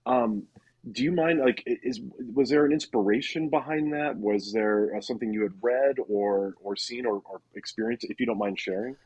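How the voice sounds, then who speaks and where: A man's voice: lowish voice, moderate pace, darkish tone, husky, dry recording, muffled recording. A man co-hosting an interview in casual chat, putting questions hesitantly and tentatively. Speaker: interviewer, podcast co-host.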